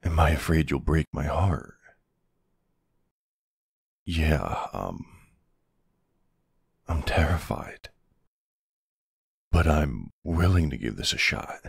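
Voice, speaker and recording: A man playing a gruff but tender, protective older man in an intimate ASMR boyfriend roleplay, speaking hesitantly with casual endearments. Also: extremely low pitch, big pitch swings, slow pace, pauses often, no echo, clean studio sound, exaggerated voice. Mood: nervous, tender. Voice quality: gravelly, husky. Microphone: close, dry microphone.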